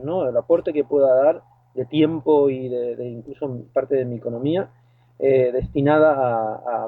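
A man's voice: on the high side, no room sound. A man from Argentina with an Argentine accent, a guest talking hesitantly with many fillers and restarts over the phone. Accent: Argentine Spanish. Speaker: guest, lifeguard and boat skipper. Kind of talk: phone interview. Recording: tinny phone line.

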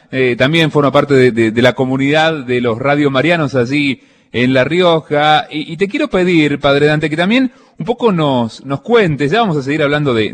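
A man hosting a religious radio interview, speaking haltingly with many pauses and restarts. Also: on the high side. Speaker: radio host.

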